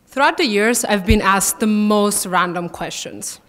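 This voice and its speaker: A young woman in her twenties with an Italian accent, a student athlete giving a prepared speech at a podium, warm and gently self-deprecating. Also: medium pitch, a little slow. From Italy. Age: 20s. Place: large banquet hall, at a podium. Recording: reverberant banquet hall.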